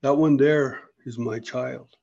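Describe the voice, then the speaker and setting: A man's voice: medium pitch, fairly even intonation, takes it slowly, gravelly, warm tone, muffled recording. A man voicing a troubled young brave seeking his child in a folk tale, speaking earnestly. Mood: determined. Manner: earnest, pleading, determined.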